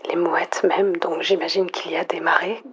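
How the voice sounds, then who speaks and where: A woman playing a lonely, frightened last survivor, murmuring a halting, introspective audio log in a breathy, husky near-whisper. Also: fairly low pitch, level tone, lo-fi sound, slight background noise, close to an everyday voice. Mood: wistful, resigned. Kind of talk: hushed monologue, analog horror audio log.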